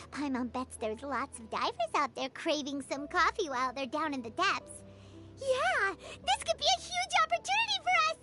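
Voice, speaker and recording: A woman voicing a small, childlike fairy companion in a video game, excitable and naive, with a squeaky voice. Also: exaggerated voice. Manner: excitable, exclamatory, refers to herself by name. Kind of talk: video game character dialogue.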